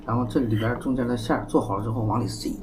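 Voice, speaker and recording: Very deep, fast-talking woman explaining a dish, noisy room. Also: fairly even intonation. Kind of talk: casual chat.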